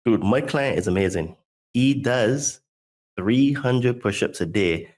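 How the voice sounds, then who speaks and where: A man from Barbados with a fairly low voice is a guest on a podcast. He talks about his work as a fitness coach with casual enthusiasm, and fills his talk with "dude" and "right?". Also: lively intonation, slow, a little mellow. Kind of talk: casual chat.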